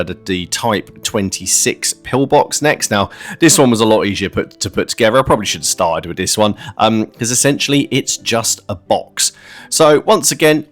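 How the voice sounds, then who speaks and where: A man giving a casual, chatty narrated walkthrough as a hobby video host, explaining things informally. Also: medium pitch. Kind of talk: casual narrated kit-building review. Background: music.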